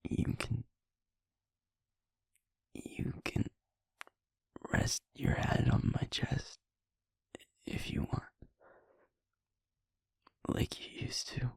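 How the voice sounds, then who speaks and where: A young man playing a sweet, wounded, guilt-ridden werewolf boyfriend in an intimate audio roleplay, speaking in a husky, halting, emotional near-whisper. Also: no room sound. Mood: soft, vulnerable. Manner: halting, emotional, softly pleading.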